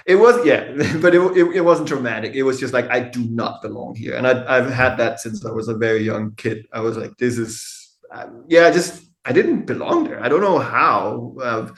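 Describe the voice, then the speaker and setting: A middle-aged Danish man with a Danish accent, a tattoo artist chatting candidly as a podcast guest, laid-back, swearing casually and laughing often. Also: medium pitch. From Denmark. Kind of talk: casual interview conversation. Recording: echoey room.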